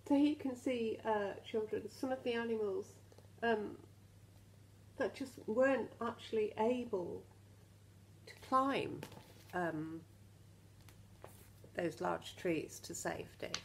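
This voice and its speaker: A woman reading a children's story expressively as a teacher, pausing to talk to the children and ask them questions. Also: medium pitch, a little hiss or hum, poor audio. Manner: animated, questioning, addresses children directly.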